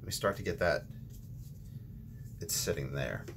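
Low-voiced man teaching watercolor. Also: measured pace, creaky, a little hiss or hum. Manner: relaxed, thinking aloud with ums and restarts. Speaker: watercolor tutorial host. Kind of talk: casual painting instruction.

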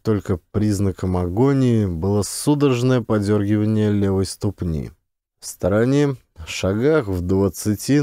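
Mellow male audiobook narrator, dry sound. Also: lowish voice, dry recording. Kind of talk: scripted literary narration of a memoir.

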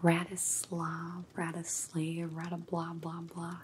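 A woman's voice: lowish voice, monotone, slow, slight background noise. A woman playing a bored, snide young vampire housemate with a disdainful, gossipy drawl in an ASMR roleplay. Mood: scornful, bored. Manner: disdainful drawl, filler-heavy valley-girl talk. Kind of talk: whispered ASMR roleplay monologue.

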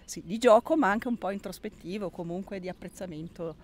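A middle-aged woman, answering informally as a garden volunteer and pausing to find her words. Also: mid-range voice. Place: outdoors in an allotment garden. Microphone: handheld mic held out by interviewer. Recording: outdoor ambient noise.

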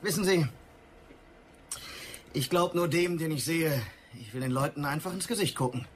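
Man playing a high-voiced stubborn businessman. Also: moderate pace, slight background noise, lightly acted. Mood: firm, courteous. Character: old-fashioned, stubborn businessman, polite but firm. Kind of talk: sci-fi audio drama dialogue.

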